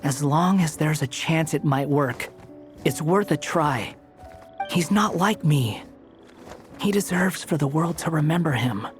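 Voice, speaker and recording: A brooding young wanderer in a fantasy game, earnest and resolute. The voice sits fairly high for a male, with terse delivery over music. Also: expressive, unhurried. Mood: grave, resolute.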